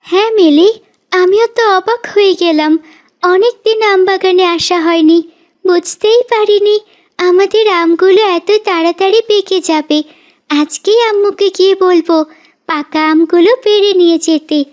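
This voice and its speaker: A kindly little village girl from a cartoon , played by a woman, with an extremely high voice. She talks in an earnest, friendly way. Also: moderate pace.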